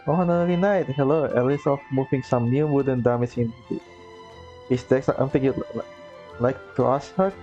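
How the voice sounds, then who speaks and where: A man's voice: medium pitch, brisk, mellow, soft background music, lightly acted. A man reading aloud the lines of a polite, earnest young female knight-maid in his own voice, with small stumbles. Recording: dull, lo-fi recording.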